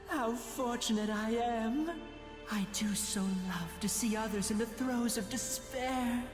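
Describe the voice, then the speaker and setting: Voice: mid-range voice, close to an everyday voice. An actor voicing a dreamy, sadistic demon in an anime game, speaking with languid, polite delight. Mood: blissful. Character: dreamy, sadistic, softly gloating demon who savors despair. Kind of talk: theatrical anime game voice acting. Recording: echoey hall reverb, music behind.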